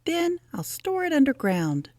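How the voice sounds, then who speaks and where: A woman voicing an earnest, forgetful young male rabbit in an animated storybook manner. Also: measured pace, dry recording, clean studio sound. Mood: eager. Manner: high, excitable, animated storybook voice.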